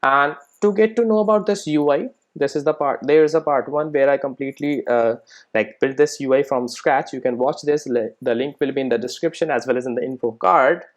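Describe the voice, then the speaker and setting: Man, medium pitch, informal tutorial presenter, conversational explaining, frequent tag questions. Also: brisk. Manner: conversational, explanatory, often tagging sentences with "right?" and "okay?". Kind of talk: informal screencast coding tutorial.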